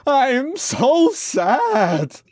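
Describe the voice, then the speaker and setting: Man doing a fussy, high-strung aggrieved caretaker. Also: lively intonation, normal speed, darkish tone, no room sound, studio quality, natural voice. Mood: howling misery. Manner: pedantic, exasperated. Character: fussy, aggrieved male caretaker, easily wound up.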